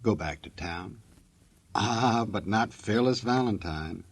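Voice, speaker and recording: A man's voice: lowish voice, takes it slowly, husky. An American man working as a 1940s radio announcer, narrating in a folksy, tongue-in-cheek, wisecracking way.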